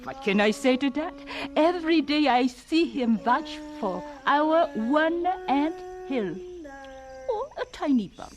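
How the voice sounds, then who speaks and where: A kindly German farm wife. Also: female voice, high voice, animated, takes it slowly. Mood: gentle. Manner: gentle, affectionate, laughing often. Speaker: farmer's wife Susan.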